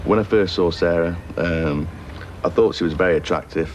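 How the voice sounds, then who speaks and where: A man with a deep, low voice answers questions as a dating show contestant. He talks in a dry, wry, understated way. Clear noise sits behind him. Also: moderate pace, dull audio. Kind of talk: interview answers.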